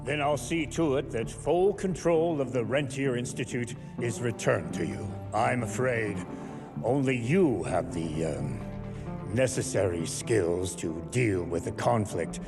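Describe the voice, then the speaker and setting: An actor playing a genial, powerful government official with a smooth, measured way of talking. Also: male voice, mid-range voice, lightly acted. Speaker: high official. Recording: voice over loud music.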